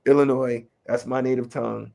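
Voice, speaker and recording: A man from Chicago's West Side with a local accent and a slight New York tinge, a rapper and educator speaking in a relaxed, streetwise way as an interview guest. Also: medium pitch, fairly quick, gravelly. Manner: relaxed, streetwise, lots of "you know what I mean". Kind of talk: casual interview talk.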